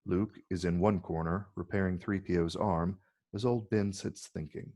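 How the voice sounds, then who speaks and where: A man's voice: low-pitched, fairly even intonation, moderate pace, a little mellow. A man narrating scripted stage directions in a table read.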